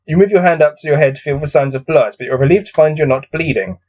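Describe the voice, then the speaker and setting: A man's voice: on the high side, quick pace, darkish tone. A man reading a gamebook aloud as host of a let's-play, musing to himself with casual, self-deprecating asides.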